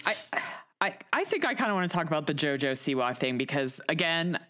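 A woman's voice: low-pitched, sing-song, fairly quick, fairly bright tone. An American woman, around her thirties or forties, chatting casually as a podcast co-host. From United States. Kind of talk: casual chat.

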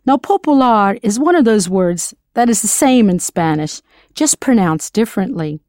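A woman whose first language is English, narrating an audio language lesson in a clear, encouraging way, slowing down to model pronunciation. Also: medium pitch, lively intonation, darkish tone, dry recording, studio quality. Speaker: language teacher.

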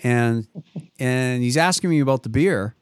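A talkative man with a Boston accent hosts the conversation and drifts through stories with false starts and side remarks. His voice sits at an ordinary middle pitch. It is recorded close and dry, with no room sound around it. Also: a little slow, clean tone, no echo. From metropolitan Boston. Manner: talkative, lots of restarts and trailing asides. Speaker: podcast host. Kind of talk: rambling conversational storytelling.

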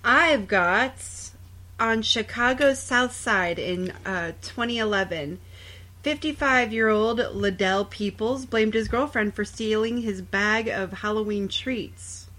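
A woman's voice: medium pitch, slow, sharp tone. Sardonic woman podcaster telling true crime. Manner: sardonic, profane asides. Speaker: podcast co-host. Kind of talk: casual true crime chat, reading out stories.

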